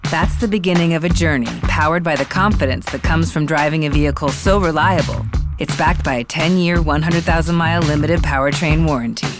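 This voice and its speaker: Female ad announcer, very low pitch, scripted commercial read, loud music bed. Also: brisk. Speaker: car advert announcer. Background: loud music, vehicle sounds.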